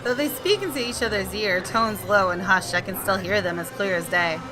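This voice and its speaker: A woman's voice: medium pitch, moderate pace, music in the background, rough recording. A woman gaming YouTuber reading visual novel narration aloud expressively, with casual asides.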